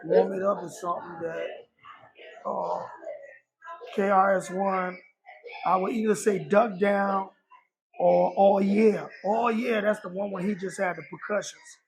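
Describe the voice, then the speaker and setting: A middle-aged man from Liberia speaks with a fairly high voice. He talks softly and haltingly, filling pauses as he answers. A crowd murmurs behind him. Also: fairly even intonation, vocal fry, low-quality recording. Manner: soft-spoken, halting with many fillers. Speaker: rapper, guest. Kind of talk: casual interview.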